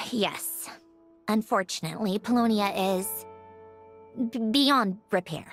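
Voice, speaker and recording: A woman's voice: mid-range voice, slow pace, a bit bright. A woman playing a proud, prickly mechanical puppet who explains things precisely and haughtily in a video game cutscene. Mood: grieving. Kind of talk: scripted game cutscene acting. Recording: music behind the voice. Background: game soundtrack music.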